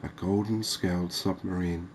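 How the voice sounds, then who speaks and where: A soft-spoken man giving ASMR gameplay commentary, thinking aloud with pauses. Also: low-pitched, fairly even intonation, slight background noise. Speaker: gaming video host.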